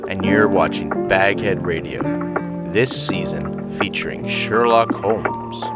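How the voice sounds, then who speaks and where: Deep-voiced male host over loud music. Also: takes it slowly. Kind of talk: scripted show introduction.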